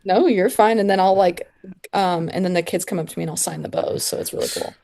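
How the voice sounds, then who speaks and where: A woman's voice: lowish voice, fast, crowd noise. A woman, a pop singer, chatting casually as an interview guest in informal speech peppered with "like".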